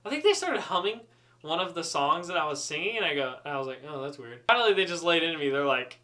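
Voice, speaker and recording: Man, slightly high bright voice, chatty and self-deprecating, casual comic storyteller. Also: animated, fast talker. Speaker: student pilot storyteller.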